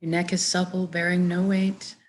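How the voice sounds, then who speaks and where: Woman, lowish pitch, guided meditation leader, gentle, soft-spoken, measured soothing instruction. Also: flat delivery.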